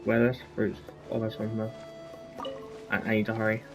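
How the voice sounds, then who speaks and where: Female streamer, unusually deep voice, offhand self-directed remarks during play, game music clearly audible behind. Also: completely flat delivery, fairly quick. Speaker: streamer playing a video game. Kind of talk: casual gameplay commentary.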